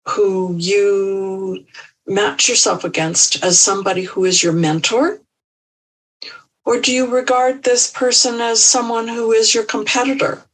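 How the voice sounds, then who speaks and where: A woman speaking as an empowerment coach in an interview, measured and thoughtful with small restarts. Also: mid-range voice, a bit bright, distant mic, rough recording. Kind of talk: reflective interview answers.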